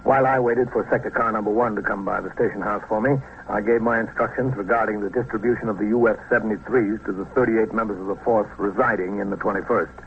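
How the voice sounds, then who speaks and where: A businesslike police captain narrates in a fairly low, measured, official voice. The vintage radio drama recording is narrow and tinny with faint hiss. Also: male voice, fairly even intonation, vocal fry. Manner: measured, official, matter-of-fact. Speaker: narrator and lead.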